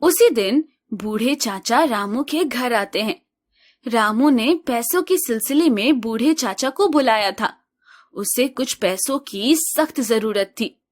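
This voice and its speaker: Female storybook narrator, slow, even delivery, high-ish voice.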